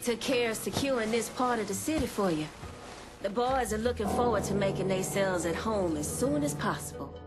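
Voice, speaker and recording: A woman's voice: medium pitch, normal speed, close to an everyday voice. A proud, shrewd female underworld lieutenant played by an actress speaks coolly in a game. Her voice is mid-range. Music runs behind her. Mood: cool, pointed. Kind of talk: dramatic video game cutscene acting.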